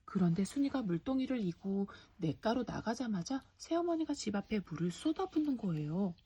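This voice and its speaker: A woman's voice: medium pitch, sing-song, moderate pace, warm tone, slightly rough. A woman narrating a children's folktale expressively, as a mother reading at bedtime. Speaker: storybook reader, a mother.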